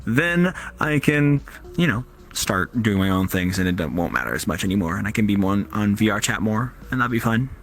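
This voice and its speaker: A man's voice: on the low side, animated, fairly quick, fairly bright tone. A man in his twenties chatting casually as a guest, hesitant with frequent restarts and ums. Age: 20s. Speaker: guest streamer. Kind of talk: casual chat.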